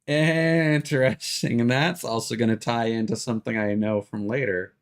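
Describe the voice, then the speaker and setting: A man's voice: medium pitch, fairly even intonation, normal speed. A man doing casual, rambling livestream commentary over a video game, thinking aloud as he goes. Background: music behind the voice.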